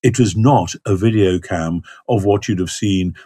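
A man's voice: low voice, moderate pace, warm tone, husky, no room sound, clean studio sound. A man talking about scripture in a thoughtful, hesitant way, with many fillers and restarts. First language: not Hebrew. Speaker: biblical scholar guest. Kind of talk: thoughtful conversational interview.

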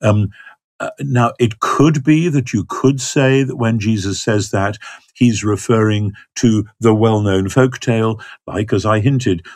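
An older man answers questions as a biblical scholar, thoughtful and halting, with many ums and restarts. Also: lowish voice, a little mellow, no room sound. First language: not Hebrew. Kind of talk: thoughtful conversational explanation.

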